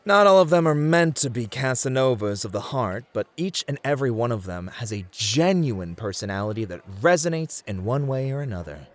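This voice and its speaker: Male, acting a charming courtly host guy, flirty and teasing, asmr roleplay, pitch goes all over. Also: dry recording, lightly acted. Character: suave, charming, polite male club host mentoring a rookie.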